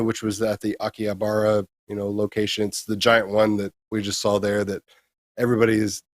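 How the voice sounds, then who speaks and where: A man's voice: fairly low pitch, dry recording, studio quality. An American man co-hosting a gaming news show, talking through stories in a casual, conversational way. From United States.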